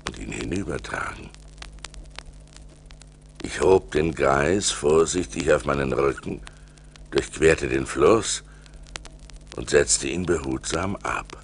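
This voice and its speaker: An actor playing a seafarer who recounts his perilous adventures in a theatrical radio play style, moving between hushed and urgent. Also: rumbling low, vocal fry, slight background noise, exaggerated voice. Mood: gentle, measured. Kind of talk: dramatic radio play narration. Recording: vinyl record transfer with music behind.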